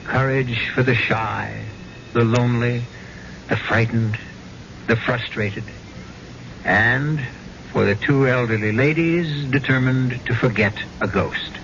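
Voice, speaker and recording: An actor playing a solemn, wry mystery host, narrating in a measured old-time radio style. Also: male voice, medium pitch, creaky. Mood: wry, musing. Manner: measured, theatrical. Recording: muffled radio broadcast under loud vehicle noise.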